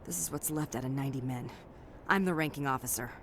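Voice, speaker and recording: A woman's voice: very deep voice, a bit bright. Female army officer, game role: battle-worn, brusque, clipped commands, noisy battlefield recording. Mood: weary, blunt. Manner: blunt, clipped military briskness. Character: weary, gruff female army lieutenant commanding besieged marines. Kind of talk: video game voice acting.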